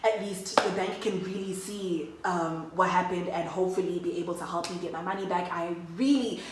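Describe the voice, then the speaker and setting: A woman's voice: on the low side, fairly even intonation, normal speed, noisy background. Chatty woman, roomy distant sound. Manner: chatty, slangy, addresses viewers as guys. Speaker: vlogger. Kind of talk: casual storytime chat to camera.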